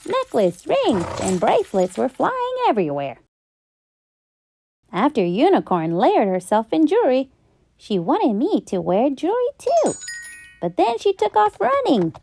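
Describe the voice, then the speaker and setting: A woman narrating a children's story in an expressive, theatrical and emphatic storytime style. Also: high voice, vocal fry, no echo. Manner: theatrical, emphatic, drawn-out delivery. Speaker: children's storybook read-aloud host. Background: background music.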